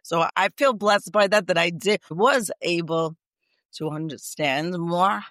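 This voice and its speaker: Podcast guest: middle-aged woman, raspy and strained, emphatic and repetitive, close and dry. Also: lowish voice, measured pace, a bit bright, no room sound. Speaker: guest, speech pathologist. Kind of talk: casual podcast interview.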